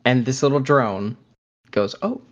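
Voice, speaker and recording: A man's voice: medium pitch, expressive, unhurried, lo-fi sound. A man co-hosting a podcast in casual conversation, talking in a hesitant, conversational way. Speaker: podcast co-host.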